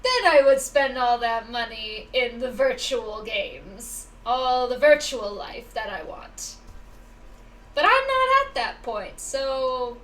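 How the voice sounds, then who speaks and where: A woman's voice: a little high, big pitch swings, slow pace, a bit bright. A young woman chatting casually as she plays a game on a livestream. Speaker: game streamer. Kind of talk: casual livestream chat while gaming. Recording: distant-sounding mic, faint background noise.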